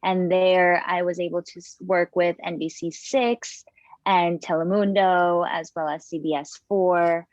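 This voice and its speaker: Slow-talking young woman on a dull call. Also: on the low side, flat delivery, no echo. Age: young adult. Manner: unhurried, with frequent fillers and restarts. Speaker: TV news producer and digital reporter. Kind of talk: panel self-introduction.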